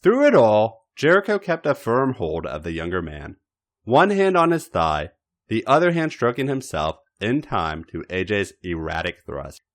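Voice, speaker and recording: Male podcast host and story reader. Low voice, extremely lively intonation, theatrical delivery of scripted erotica. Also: moderate pace. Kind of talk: dramatic scripted narration of erotic fiction.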